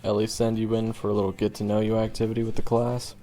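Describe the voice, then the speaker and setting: A man's voice: lowish voice, completely flat delivery, fast, dark tone, lightly acted. A man playing a cocky, laid-back young college student in an audio roleplay, talking in a slangy, teasing, offhand way. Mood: teasing, relaxed. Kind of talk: audio roleplay acting, addressing the listener. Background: guitar music.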